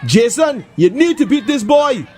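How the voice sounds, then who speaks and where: A man voicing a boy in a crowd, shouting encouragement. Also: very high-pitched, very animated, unhurried, faint music behind, voice pushed up, full character voice. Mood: rousing. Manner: rousing, shouted.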